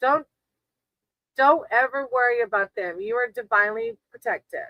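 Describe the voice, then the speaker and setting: A woman's voice: on the high side, lively intonation, moderate pace, no room sound, highs cut off. A woman hosting a casual live tarot reading, talking to her chat in a reassuring, encouraging way. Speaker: tarot reader hosting a livestream.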